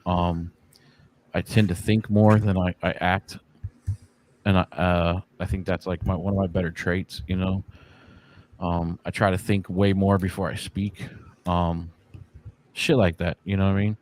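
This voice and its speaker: A man's voice: deep voice, darkish tone. A man in his forties, a podcast guest talking casually in a laid-back, slangy, profane way with lots of fillers. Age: 40s. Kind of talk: casual chat.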